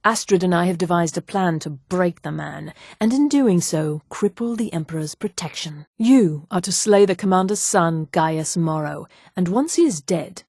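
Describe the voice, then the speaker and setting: An elven assassin of a dark brotherhood for a fantasy game. Her voice sits fairly low and is recorded close and dry. She speaks formally and deliberately, with composed, cool menace. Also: dry recording. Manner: formal, measured, deliberate diction. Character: poised, coolly menacing elf woman assassin of a dark brotherhood. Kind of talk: scripted fantasy video game dialogue.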